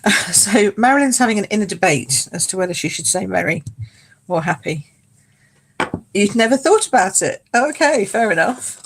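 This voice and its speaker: A British woman with a croaky voice, hosting a live card-making class from home, chatting and greeting viewers by name as she works. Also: on the low side, a little hiss or hum. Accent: British English. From United Kingdom. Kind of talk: casual live craft demo, chatting with viewers. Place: home craft space.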